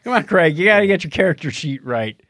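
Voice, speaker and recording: A man's voice: fairly high pitch, expressive, fast, fairly bright tone, dry recording, studio quality. A middle-aged man co-hosting a podcast in casual, bantering conversation. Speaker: podcast co-host.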